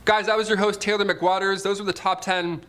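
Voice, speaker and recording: A man's voice: sits high, little pitch movement, brisk, noisy background. A man hosting a top ten list, mixing scripted countdown narration with casual, joking, sarcastic asides. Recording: music bed behind the voice. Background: background music.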